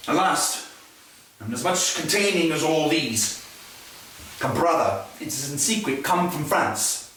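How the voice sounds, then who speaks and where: An actor playing a scheming middle-aged king, speaking verse in a measured, weighty classical stage manner. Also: on the high side, expressive, a bit bright, a little hiss or hum. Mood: grave, troubled. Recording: echoey stage recorded from far off.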